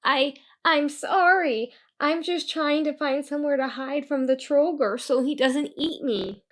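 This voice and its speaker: A woman's voice: high voice, a little slow, a bit bright, voice pushed up. A woman voicing a frightened young boy on the run, urgent and pleading, in a storybook. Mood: worried.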